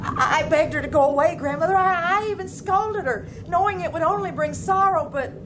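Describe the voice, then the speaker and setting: A woman's voice: very high voice, a little fast. A woman playing a caring young mermaid sister, earnest and hesitant, in old-time radio drama style. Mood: anxious, reluctant. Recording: noisy live theater recording.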